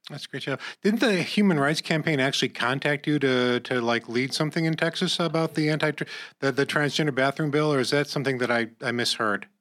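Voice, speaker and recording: A man's voice: medium pitch, brisk, few pauses, fairly bright tone. Male interviewer, stumbling over questions. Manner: stumbling restarts when asking questions. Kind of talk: casual interview.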